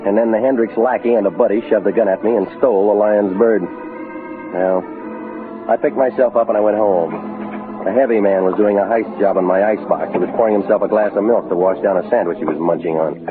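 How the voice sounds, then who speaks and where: Guy doing a tough wisecracking private eye, talks fast and dry, low voice, muffled old radio noir. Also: over music, lightly acted. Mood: wry narration. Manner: terse, deadpan, wry. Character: hard-boiled, wisecracking male private detective. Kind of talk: 1940s radio noir acting and narration. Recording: muffled 1940s radio recording.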